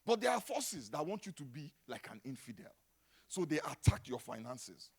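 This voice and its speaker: A middle-aged Nigerian man preaching a sermon, dropping to a hush and then rising to loud exclamations. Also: a little high, big pitch swings, normal speed, husky. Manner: dramatic, swings from hushed to shouting. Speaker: pastor.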